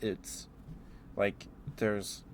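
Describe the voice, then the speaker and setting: A man's voice: drawn-out words, a little hiss or hum. A man doing a casual ASMR ramble as a livestreamer, playfully musing aloud and letting thoughts trail off.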